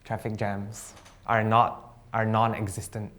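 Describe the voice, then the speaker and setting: A young man from Sabah, a student guest chatting casually on a live stream, relaxed and jokey with slang and hesitant fillers. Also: lowish voice, measured pace. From Sabah, East Malaysia. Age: young adult.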